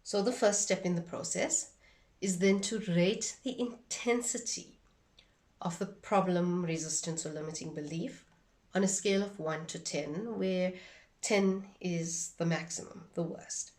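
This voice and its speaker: A woman giving an instructional demonstration in a measured, deliberate way, phrase by phrase. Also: fairly low pitch, darkish tone, recorded from a distance. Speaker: instructor demonstrating a tapping technique.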